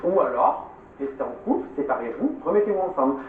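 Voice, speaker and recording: A man's voice: fairly high pitch, big pitch swings, quick pace, a little hiss or hum. Direct man giving advice, very echoey. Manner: direct, instructive. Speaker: reality TV contestant giving advice. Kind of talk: advice to camera. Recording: very echoey, distant, phone-like.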